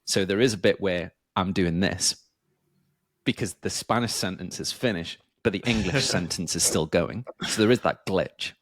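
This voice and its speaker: A man's voice: low-pitched, moderate pace, dry recording, studio quality. A man hosting a podcast in casual chat, rambling with many fillers and restarts. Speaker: podcast host.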